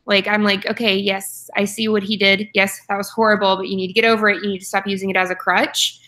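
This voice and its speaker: A woman's voice: mid-range voice, level tone, fast talker, sharp tone. A young woman co-hosting a book club over a video call from home, chatty, with frequent ums and restarts. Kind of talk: casual video call chat. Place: home room with posters and bookshelf. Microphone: webcam on video call.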